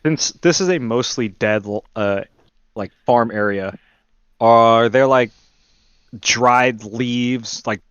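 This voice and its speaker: Guy player in a tabletop game, clear smooth voice, average pitch, casual, figuring out moves out loud, very dry mic. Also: takes it slowly, dry recording, muffled recording. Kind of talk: casual game chat, thinking aloud.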